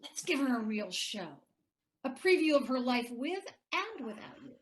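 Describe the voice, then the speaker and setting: A woman's voice: high-pitched, very animated, normal speed, a little hiss or hum. A woman playing a bitter, hard-drinking ex-wife in an audio play, taunting and sardonic. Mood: wounded, taunting.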